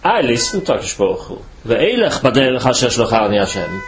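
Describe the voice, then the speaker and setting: A man from Switzerland delivers a Torah lecture in careful, halting English that is not his first language. His voice sits at a middle pitch. A faint background noise runs under the recording. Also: big pitch swings. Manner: halting non-native English, slow careful explanation. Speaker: rabbi.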